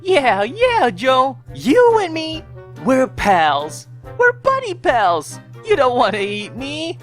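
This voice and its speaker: A woman's voice: high voice, wildly swooping pitch, slow, soft background music, heavily acted. A woman voicing a desperate, chummy hunter who wheedles and pleads in slangy talk, in a dramatized fan fiction reading. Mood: desperate wheedling. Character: desperate, wheedling, fast-talking hunter begging a monster for mercy.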